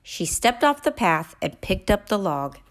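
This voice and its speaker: A woman reading a children's story aloud in an expressive, deliberate way for young listeners. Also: medium pitch.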